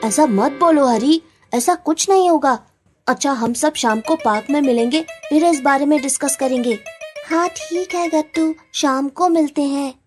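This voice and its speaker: A woman's voice: high voice, normal speed, darkish tone, faint music behind, natural voice. A woman voicing an earnest, anxious young schoolchild in a children's cartoon. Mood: hesitant.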